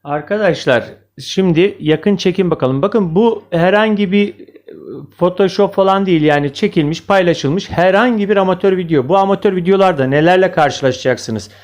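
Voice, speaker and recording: A man's voice: high-pitched, normal speed, darkish tone. A man presenting informal video commentary, speaking directly to viewers in an opinionated, questioning way. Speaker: video host, amateur presenter.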